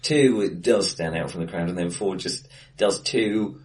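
A man hosting a casual film discussion in a hesitant, self-correcting conversational way, recorded in a bright, echoey room. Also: sits low, measured pace, far from the mic. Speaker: podcast host. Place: untreated, acoustically bright room, face to face.

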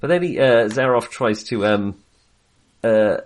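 A man's voice: medium pitch, unhurried. A man co-hosting a film podcast in casual conversation, with a hesitant, rambling and wry way of talking.

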